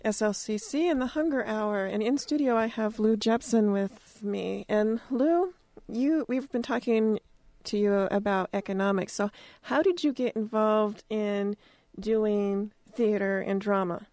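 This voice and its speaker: Woman, mid-pitched voice, radio host, conversational questioning, very dry sound. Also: measured pace, a little mellow, no echo. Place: radio studio.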